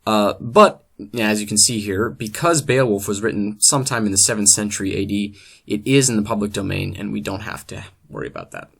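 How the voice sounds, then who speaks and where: Man, presenter, deadpan, mock-scholarly, scripted comedy lecture. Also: on the low side, a little fast, gravelly, husky. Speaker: host of a literature series.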